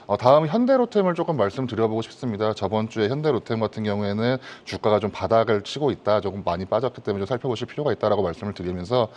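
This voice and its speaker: A man's voice: lowish voice, expressive, rapid-fire, few pauses, a little mellow. A man giving stock commentary as a guest analyst on a broadcast, speaking in a formal, polite, hedging manner.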